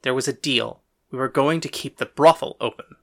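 A woman's voice: extremely low pitch, fairly quick, gravelly, voice pushed down, heavily acted. A woman voicing a defiant, exasperated mayor and husband with an indignant, blustering manner in an audiobook. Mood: evasive, defensive. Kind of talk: audiobook character dialogue.

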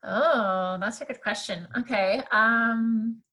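Husky French-accented woman in her thirties. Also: fairly high pitch, slow pace, sharp tone. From Canada. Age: 30s. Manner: chatty, many fillers, laughs easily. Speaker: podcast guest, graphic designer. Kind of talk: casual interview chat.

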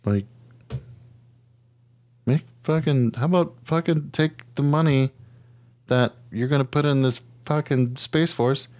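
A man's voice: medium pitch, slow, long pauses, a little mellow, dry recording. Casting: American male podcast co-host, sweary and sarcastic, venting casually in a dry, close recording. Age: adult, parent of children. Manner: exasperated, profane, sarcastic. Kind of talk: casual comic rant.

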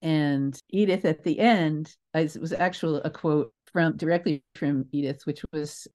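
A woman's voice: deep voice, a little slow, mellow. A woman speaking as a guest in a conversational interview, reflective, wandering into asides and correcting herself as she goes. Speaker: guest, theatre writer and creator.

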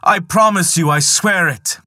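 A man's voice: on the high side, normal speed, pitched above the performer's own voice. A man voicing a sly male trickster god who pleads desperately and coaxes eagerly in a retold myth. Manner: pleading, wheedling, excitable.

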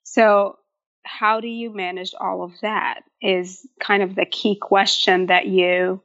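A woman's voice: mid-range voice, level tone, takes it slowly, a bit bright, lo-fi sound. A woman in her thirties from Egypt, a food blogger talking casually as a guest in an interview.

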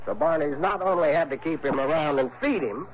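A man's voice: fairly high pitch, animated, fairly quick, natural voice. An actor playing a folksy, crotchety small-town insurance man in an early 1960s radio drama, with a hesitant, exasperated drawl. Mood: confiding. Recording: muffled, noisy old radio broadcast.

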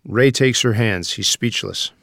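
Low-pitched man narrating a script. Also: unhurried, vocal fry, fairly bright tone, no room sound, clean studio sound. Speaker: narrator reading stage directions.

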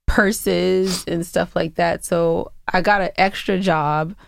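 A woman's voice: on the low side, fairly even intonation, slow, gravelly, no echo, studio quality. A woman co-hosting a podcast, telling stories in casual, playfully argumentative banter. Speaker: podcast co-host.

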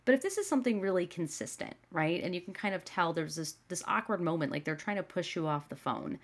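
A woman's voice: fairly low pitch, animated, fast talker. Woman podcast host with a stuffy cold. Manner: earnest, conversational, with frequent "right?" and "you know". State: slightly nasal from a cold. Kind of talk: casual solo podcast talk.

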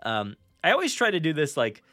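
A man's voice: fairly high pitch, wildly swooping pitch, a little fast, sharp tone, no room sound. A man hosting a podcast in a casual, enthusiastic, chatty and informal way. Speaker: podcast host.

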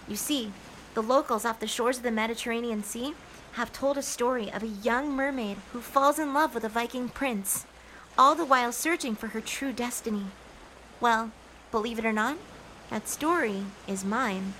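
A woman's voice: on the high side, sharp tone, rough recording. A woman playing a gracious, theatrical mermaid guardian spirit, telling a scripted fairy tale to listeners as guests. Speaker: storyteller. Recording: faint background noise and ambience.

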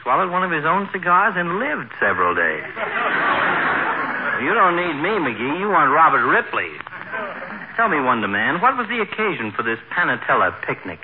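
Sarcastic doctor, wisecracking, animated, tinny crackly old radio. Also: male voice, a little high, normal speed. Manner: sardonic, wisecracking. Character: sarcastic, teasing middle-aged family doctor. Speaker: doctor character. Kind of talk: 1940s radio comedy acting.

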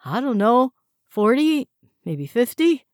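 A man's voice: very high voice, expressive, takes it slowly, vocal fry, fairly bright tone, no room sound, studio quality, voice pushed up, exaggerated voice. A woman voicing a terrified captive man who stammers and pleads. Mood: desperate. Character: terrified captive young man blurting secrets.

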